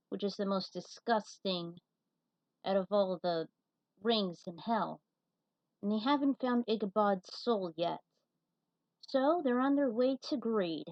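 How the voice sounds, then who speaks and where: A woman narrates a story as an amateur host, with an informal delivery and casual asides. Her pitch is middling for a woman and the recording is dry, with very little room sound. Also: darkish tone, no echo, lo-fi sound. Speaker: host and reader of a fan fiction series.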